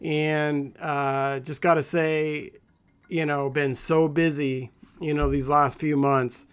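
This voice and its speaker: A man's voice: fairly high pitch, flat delivery, slow pace, clear, resonant tone. A man hosting a gaming channel, chatting casually to viewers in an informal, rambling, conversational way.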